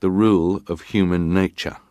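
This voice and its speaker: A low, deep male voice in a dry, close recording. A man acts a stoic ship's officer testifying in a dramatized hearing. He speaks formally and plainly, in clipped, factual phrases. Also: fairly even intonation, moderate pace, a little mellow, no echo, close to an everyday voice. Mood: stoic, terse. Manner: clipped, matter-of-fact, formal. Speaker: actor reading testimony.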